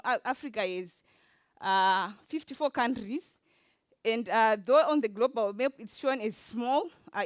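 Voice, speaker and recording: A woman with a Zimbabwean accent gives an informal conference presentation as an event organizer, speaking haltingly with frequent filled pauses. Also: on the high side, no echo. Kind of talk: informal lightning talk presentation. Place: conference talk before an audience.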